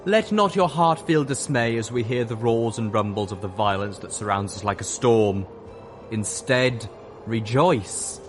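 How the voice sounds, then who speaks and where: Brief: male reader playing a zealous mare preacher of a pony cult, loud, higher-pitched, sermonizing, music bed underneath. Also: a little slow, close to an everyday voice. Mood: fervent. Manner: sermonizing, declaiming.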